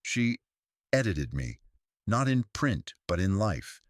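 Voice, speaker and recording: A man voicing a discreet, probing woman visitor in a mystery story, soft-spoken and careful. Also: on the low side, big pitch swings, takes it slowly, no echo, clean studio sound, pitched above the performer's own voice. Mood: quietly probing.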